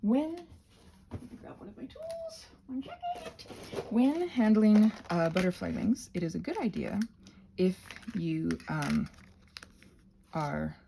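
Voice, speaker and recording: Female crafter, casual and theatrical, lively sing-song swings, meandering asides; phone-recorded with slight background noise. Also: mid-range voice, slow, vocal fry, pauses often. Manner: whimsical, theatrical, self-interrupting, talks to objects. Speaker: craft video creator demonstrating butterfly wing prep. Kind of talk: rambling casual craft tutorial with asides to self.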